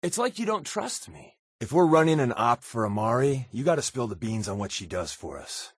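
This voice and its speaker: A wisecracking male undercover agent for a video game. His delivery is casual and sardonic, with a lively, mobile pitch that sits in a middle male register. The sound is dry and free of room echo. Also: moderate pace, breathy edge. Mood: wry, playful. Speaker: voice actor playing a game companion. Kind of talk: video game character dialogue.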